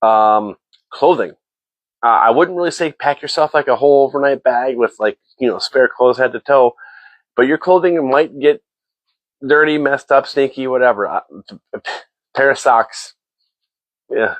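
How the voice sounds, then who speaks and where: A man's voice: mid-range voice, unhurried, pauses often, dry recording, poor audio. A man hosting a casual gear talk, sounding stuffy and a bit nasal, rambling with repeated words and frequent ums. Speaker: host.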